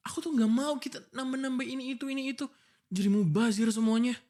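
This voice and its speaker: A man's voice: very high voice, fast, a little mellow. A man acting an exasperated, protective young fiancé in a boyfriend ASMR roleplay, pleading and scolding informally. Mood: annoyed, suspicious. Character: exasperated, protective young fiancé arguing before his wedding. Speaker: voice actor. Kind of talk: boyfriend ASMR roleplay, one-sided dialogue.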